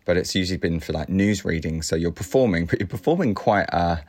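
A man's voice: deep voice, lively intonation, fairly quick, a little mellow. A man co-hosting a casual breakfast show, asking dry, teasing questions. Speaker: co-host.

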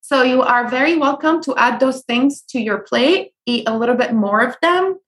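Young woman from Syria, bright high register, earnest advice-giver with frequent ums and restarts, conversational interview guest. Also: moderate pace. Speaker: guest, food blogger.

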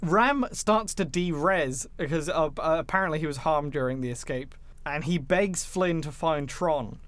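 Higher-pitched man recapping films on a podcast. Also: unhurried, a bit bright. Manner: jokey, informal, swears casually. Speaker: podcast host. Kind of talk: casual film podcast plot recap with banter.

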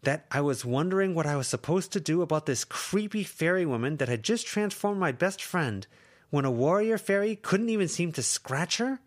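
A man's voice: mid-range voice, very animated, moderate pace, voice pushed up, lightly acted. A man voicing an anxious, protective teenage girl who lectures her friends intensely in an audiobook. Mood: upset, raised. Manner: intense, lecturing, rising to shouts. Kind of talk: audiobook character reading.

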